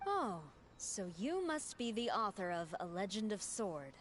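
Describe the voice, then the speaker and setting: Composed, mid-pitched female officer. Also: very animated, slight background noise. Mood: composed, official. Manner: formal, measured. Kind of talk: anime game voice acting.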